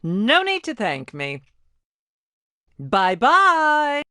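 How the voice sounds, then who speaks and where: A woman voicing a self-important, melodramatic gem informant in an animated game, pompous and showy. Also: high voice, extremely slow, smooth, no room sound, clean studio sound, exaggerated voice.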